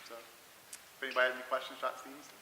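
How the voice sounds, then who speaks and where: A male moderator makes a quick announcement in a very bright, sharp voice. His delivery is flat in pitch, and the hall rings around him. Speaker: event moderator. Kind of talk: announcement to an audience. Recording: echoey hall, faint hiss, distant mic.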